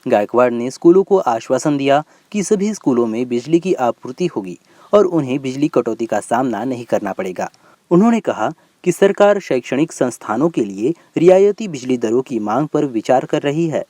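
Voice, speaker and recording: A man's voice: medium pitch, lively intonation, moderate pace, warm tone, no room sound. A man reading a scripted news bulletin as its presenter.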